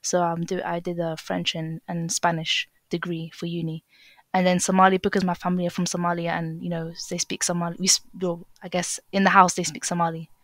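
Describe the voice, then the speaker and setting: A young English woman with a lowish voice talks casually as a podcast guest. She hesitates and restarts often, padding with fillers. The recording is dry and close. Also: level tone, a little fast, dry recording. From England. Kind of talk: casual interview chat.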